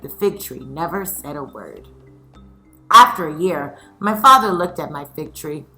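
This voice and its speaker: Lively, bright-voiced woman teacher. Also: sits low, unhurried, creaky, faint music behind. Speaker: teacher reading aloud. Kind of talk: expressive children's storybook read-aloud and discussion.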